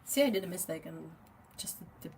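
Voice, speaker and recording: A woman's voice: lowish voice, lively intonation, a little fast, a little mellow, a little hiss or hum. A young woman with a French Canadian accent, a native French speaker, chatting casually with viewers on a livestream while she paints, reading the chat aloud and laughing often.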